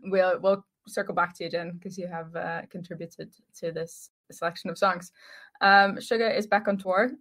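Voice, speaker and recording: Creaky-voiced young woman hosting a podcast. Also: fairly low pitch, steady tone, moderate pace, a bit bright. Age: 20s. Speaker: podcast host. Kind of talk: casual fan chat.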